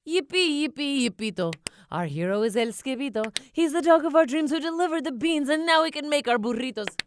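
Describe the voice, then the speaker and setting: A woman voicing a band of excitable little Chihuahuas in a singsong manner. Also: high-pitched, brisk, slight background noise, voice pushed up. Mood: jubilant.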